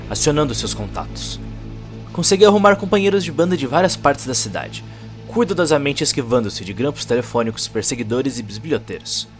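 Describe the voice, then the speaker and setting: A Brazilian man narrating a dramatic scripted audio story in a theatrical, deliberate way, with short emphatic phrases. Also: mid-range voice. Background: prominent music.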